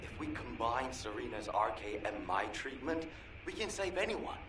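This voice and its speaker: A man voicing an earnest scientist in a video game, explaining his treatment in a calm, measured way. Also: mid-range voice, close to an everyday voice. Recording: clear background noise.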